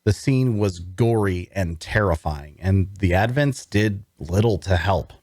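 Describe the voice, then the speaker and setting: A man playing a low-voiced, sardonic necromancer. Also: lively intonation, measured pace, darkish tone, no room sound, clean studio sound. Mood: wry, sardonic. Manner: wry, theatrical. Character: sardonic, haunted male necromancer outcast narrating his tale. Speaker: voice actor. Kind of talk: first-person dramatic narration, scripted.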